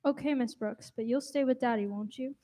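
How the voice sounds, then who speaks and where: Anxious teenage daughter played by a woman, high voice, earnest radio comedy, echoey hall. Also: fairly quick, dark tone, close to an everyday voice. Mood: dutiful. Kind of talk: old-time radio comedy acting. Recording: echoey hall with long reverb.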